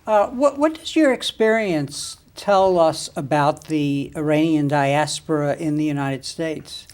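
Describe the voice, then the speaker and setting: A man's voice: a little high, measured pace, darkish tone, smooth. A man hosting an interview show, who reads a formal introduction and then asks questions that sometimes stop and start. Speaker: interview host.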